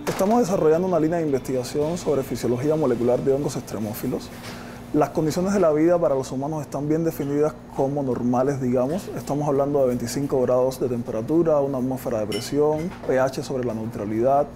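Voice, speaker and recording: A man's voice: mid-range voice, fast talker, noisy background. A man in his thirties with a Cuban accent, a research scientist explaining things in a documentary interview, recorded on a lapel mic. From Cuba. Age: 30s. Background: background music.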